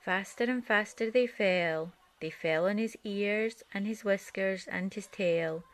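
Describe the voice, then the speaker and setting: A woman's voice: medium pitch, unhurried. A woman narrating a children's picture book aloud as a storyteller.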